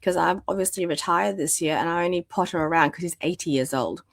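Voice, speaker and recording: A woman's voice: lowish voice, quick pace, gravelly, dry recording. Woman losing her voice, chatty, lots of ums, dry close sound. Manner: chatty, many fillers and restarts. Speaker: guest, domestic violence practitioner. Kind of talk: conversational interview storytelling.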